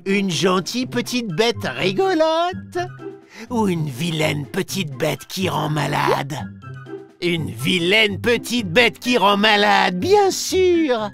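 Small cartoon virus villain, mischievous, theatrical, swooping intonation, gravelly creak, music behind. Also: female voice, medium pitch, sing-song, slow pace, fairly bright tone, full character voice. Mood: teasing, boastful. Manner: teasing, theatrical, exaggerated. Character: mischievous boastful little virus villain, playful with children. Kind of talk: animated educational narration for children.

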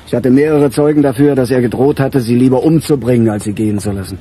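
An actor playing a weary middle-aged police chief in a radio crime drama, with a dry, musing, sardonic manner. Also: male voice, medium pitch, fast, warm tone, a little hiss or hum, natural voice. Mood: irritated, explaining.

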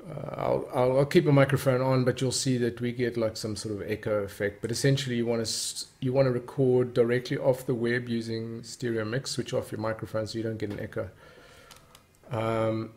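Guy doing a casual how-to, creaky voice, explains his terms as he goes. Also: medium pitch, flat delivery, a little fast, a little mellow. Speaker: gaming tutorial presenter. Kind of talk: casual screen-recorded software tutorial.

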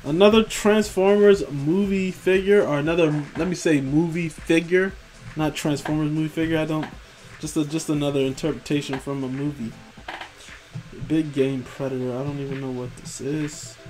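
A man's voice: fairly high pitch, steady tone, normal speed, a little mellow, soft background music. A young man rambling casually about collectible figures, profane and tired after work, tripping over names. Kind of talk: casual commentary over screen images. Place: home room.

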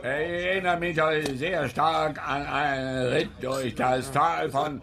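A ponderous old-world court gentleman, very slow, voice on the high side. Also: fairly even intonation, a crowd in the background. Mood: ponderous reminiscing. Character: ponderous well-travelled gentleman of the imperial entourage. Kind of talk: radio drama acting.

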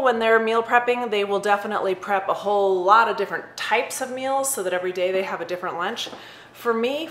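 Woman, 40s, chatty practical vlogger, slightly low voice, webcam in a roomy kitchen. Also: a bit bright, slight background noise. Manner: conversational, practical, upbeat. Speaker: vlogger giving meal prep tips. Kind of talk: casual advice to camera. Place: home kitchen, indoors. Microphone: webcam or laptop at close range. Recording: echoey room sound.

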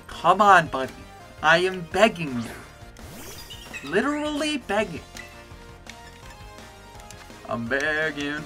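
Excitable streamer with a bright voice. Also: male voice. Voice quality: bass voice. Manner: chatty, easily excitable, pleads with the game. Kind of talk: casual gameplay commentary. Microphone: desk mic with webcam.

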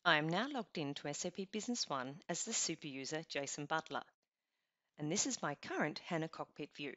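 Low-voiced woman calmly narrating a software tutorial, dry and kinda lo-fi. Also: brisk, dry recording. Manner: even, instructional, step-by-step. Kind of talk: scripted screencast narration. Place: voice-over over screen recording. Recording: dull lo-fi voice-over.

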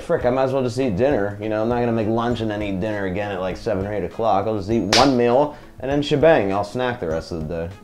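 A young man talks his viewers through a stir-fry in a home kitchen, loose and slangy, like he's chatting with a friend. His voice sits at an ordinary middle pitch. Faint music plays under him, and a pan sizzles. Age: young adult. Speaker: vlogger. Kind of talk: casual cooking vlog.

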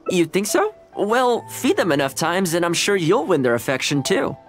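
A man's voice: high-pitched, wildly swooping pitch, normal speed, soft background music. An actor playing a friendly young man in an anime video game, cheerful, polite and laid-back. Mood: warm, pleased. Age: young adult. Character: friendly, easygoing young male anime housekeeper. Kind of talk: scripted video game dialogue.

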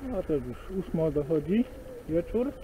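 A man with a Silesian accent chatting casually and slowly while cycling outdoors on country roads, recorded on a bike camera. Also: on the high side, noisy background. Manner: slow, rambling, relaxed chat with pauses. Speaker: cycling vlogger. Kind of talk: casual spoken commentary while riding. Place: outdoors on a bike on rural roads and forest tracks.

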